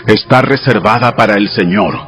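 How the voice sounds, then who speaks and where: An actor playing God as a solemn, commanding deity giving sacred laws in a dramatized audio Bible, in a measured manner. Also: male voice, fairly low pitch, lightly acted. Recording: voice over clear background music.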